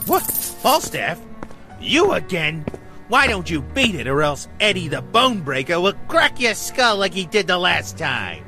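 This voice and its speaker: An actor voicing a boastful small-time gangster who brags about himself in the third person, in a radio crime serial. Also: male voice, high-pitched, very animated, measured pace, bright, forceful tone, faint music behind, heavily acted. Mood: belligerent. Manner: blustering, third-person bragging.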